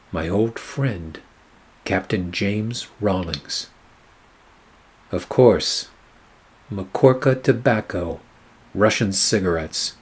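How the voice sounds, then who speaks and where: Noir radio play detective, male actor, very low and slightly husky, weary, sardonic, measured. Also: heavily acted. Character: weary, wry hard-boiled male private eye narrating his case.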